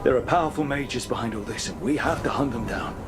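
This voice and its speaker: An actor playing an earnest, resolute hero in a fantasy video game, speaking directly, with music behind him. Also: male voice, medium pitch, brisk, close to an everyday voice. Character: earnest, resolute young male hero recruiting allies.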